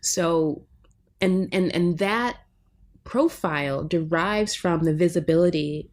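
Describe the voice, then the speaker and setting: Lower-pitched woman, thoughtful linguist guest. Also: slow, darkish tone. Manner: reflective, often checks in with "right?". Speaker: linguistics professor, podcast guest. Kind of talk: academic interview talk.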